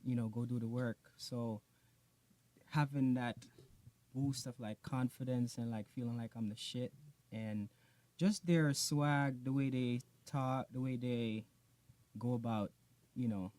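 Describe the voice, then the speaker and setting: A man sits for a casual podcast interview, talking about his music. He tells his story loosely and in slang, circling back to the same phrases as he goes. His voice sits at an ordinary male pitch. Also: fairly even intonation, measured pace, a little mellow. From Jamaica. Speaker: guest, music artist.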